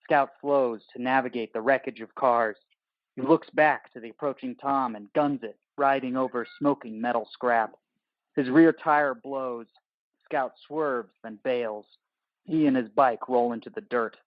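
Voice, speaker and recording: A man's voice: medium pitch, steady tone, measured pace, no room sound, muffled recording. A man reading scripted, terse action narration for an audio play.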